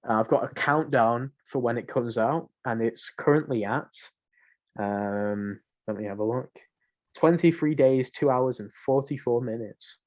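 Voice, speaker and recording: A man's voice: mid-range voice, measured pace. A young man co-hosting a podcast in casual, rambling, informal chat. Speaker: podcast co-host. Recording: muffled lo-fi home recording.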